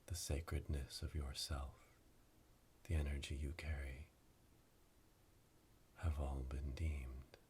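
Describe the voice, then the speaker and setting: A man playing a solemn witch leading an initiation in a hushed, breathy ASMR whisper, ceremonial and monotone, close to the mic. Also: rumbling low, a little hiss or hum. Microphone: close binaural microphone.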